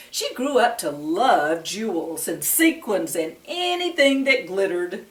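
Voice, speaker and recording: A woman talking and reading to young children as a storyteller and Bible teacher, in a sing-song way with questions put to the listeners. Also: medium pitch. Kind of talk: read-aloud storytime talk to young children. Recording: roomy echo, distant microphone.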